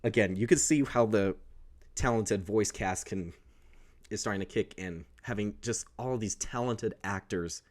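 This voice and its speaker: A young man with a baritone voice casually recapping a film, rambling and correcting himself as he goes. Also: normal speed, a little mellow. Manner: rambling, self-correcting, with restarts. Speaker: host.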